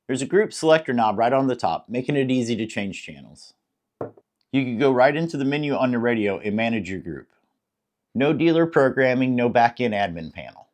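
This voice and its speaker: American man with lively, swooping pitch. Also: brisk. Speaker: product reviewer, host. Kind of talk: scripted product review narration.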